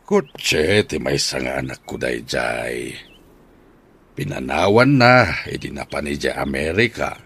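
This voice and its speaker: A man acting a deep, husky old grandfather. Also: expressive, slow, fairly bright tone, heavily acted. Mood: matter-of-fact. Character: stern but generous old grandfather. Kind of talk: radio drama acting.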